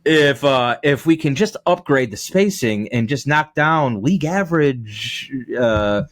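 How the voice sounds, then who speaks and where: A man's voice: mid-range voice, animated, a little slow, dry recording. A man giving conversational sports analysis as a podcast guest. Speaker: radio play-by-play announcer, podcast guest.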